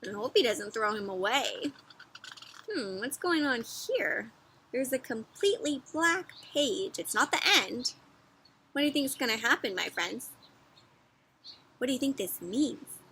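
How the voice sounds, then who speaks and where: A woman doing an interactive read-aloud for young children, expressive and encouraging, often putting questions to her listeners. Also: a little high, unhurried, long pauses, slight background noise, rough recording. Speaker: children's storybook read-aloud host and teacher.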